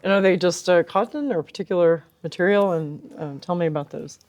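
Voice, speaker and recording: An interviewer asking informal questions on location in a tentative, halting way, searching for words. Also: medium pitch, a little mellow. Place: exhibit room at an audio trade show.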